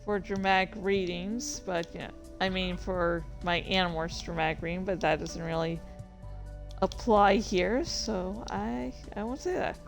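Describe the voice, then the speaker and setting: A woman streamer chatting casually, rambling and interrupting herself with playful excitement. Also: lowish voice, a little slow, creaky, faint music behind. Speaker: streamer and author. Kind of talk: casual livestream chat.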